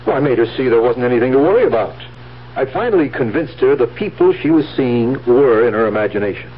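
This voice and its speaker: An actor playing a scheming, deceitful nephew in a 1970s radio drama, smoothly reassuring with some hesitant stammering. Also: male voice, medium pitch, animated, fast talker. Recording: muffled, humming old radio recording.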